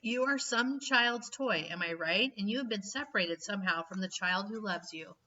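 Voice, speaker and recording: A woman voicing an enormous bearded man who speaks in a formal, gently playful, courteous way in a storybook reading. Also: medium pitch, animated, moderate pace, a little hiss or hum. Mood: genial. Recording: dull, slightly distant recording.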